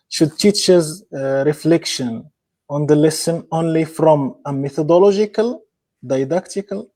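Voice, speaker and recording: A man's voice: fairly high pitch, dark tone. A man moderating an online lecture, thanking the speaker and reading out audience questions with some fillers. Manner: polite, measured, with fillers. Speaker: session moderator.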